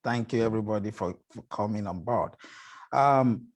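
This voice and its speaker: A man's voice: fairly low pitch, normal speed. A man co-hosting a casual online panel chat, often breaking into laughs as he explains greetings. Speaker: show founder and co-host.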